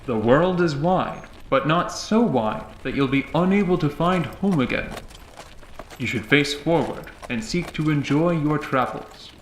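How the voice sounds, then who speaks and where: A man's voice: on the high side, animated, a little hiss or hum, poor audio. Man acting a formal, courteous armored warrior. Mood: reassuring. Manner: formal, measured, stately. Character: calm, courteous, learned armored male traveler. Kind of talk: fantasy audio drama acting.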